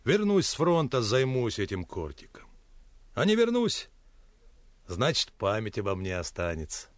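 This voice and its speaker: An actor in a Russian radio play playing a gruff but kindly sailor soldier who instructs in earnest, colloquial speech. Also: male voice, medium pitch, wildly swooping pitch, unhurried, no room sound. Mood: earnest, warning. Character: gruff, kindly adult Red Army sailor mentor. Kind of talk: Russian radio drama acting.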